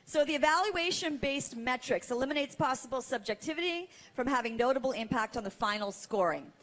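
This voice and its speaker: Woman, high voice, event co-host, live stage announcing, part scripted. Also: lively intonation, brisk, a bit bright, low-quality recording. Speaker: awards gala co-host.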